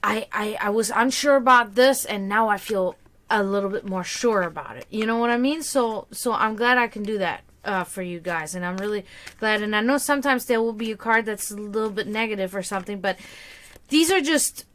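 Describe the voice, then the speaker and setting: A woman's voice: mid-range voice, a little fast, a bit bright. A woman in casual livestream chat, giving tarot readings, rambling and laughing often.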